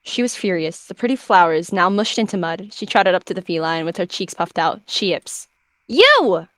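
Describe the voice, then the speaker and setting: A woman narrates a game roleplay story in the third person. Her voice sits a little lower than most women's. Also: fairly quick, no echo.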